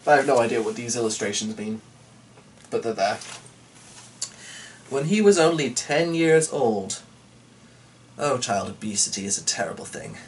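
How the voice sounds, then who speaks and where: A man's voice: medium pitch, expressive, moderate pace, pauses often. A man giving a dramatic storybook reading, breaking off into chatty, irreverent, sweary asides. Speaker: storyteller reading a folk tale aloud. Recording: distant mic with faint background noise.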